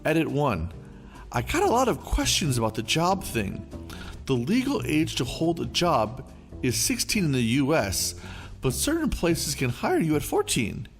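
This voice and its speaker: A man hosting a storytelling channel, giving an expressive scripted reading of online stories. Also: fairly high pitch, a little slow. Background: faint background music.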